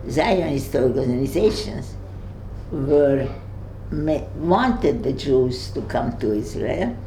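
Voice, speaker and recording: An older woman with a Hungarian accent speaks slowly and low, pausing often and reaching for words as she tells her memories. Her voice is mellow and dark. The room gives it a noticeable echo, with faint ambience behind. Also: a little hiss or hum. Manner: halting, searches for words, many fillers and restarts. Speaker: Holocaust survivor speaking to visitors. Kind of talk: recounting memories in conversation.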